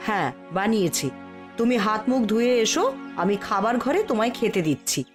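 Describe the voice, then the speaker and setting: A woman's voice: medium pitch, animated, brisk, over music, lightly acted. A woman playing a scolding, principled wife in a moral-tale cartoon, with a firm, admonishing manner. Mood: matter-of-fact.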